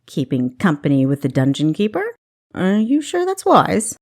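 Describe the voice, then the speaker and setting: A woman's voice: fairly low pitch, wildly swooping pitch, normal speed, a little mellow, exaggerated voice. A woman voicing a cocky, charming male thief in an audiobook, with a sly, teasing manner. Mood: knowing, teasing. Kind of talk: audiobook character acting.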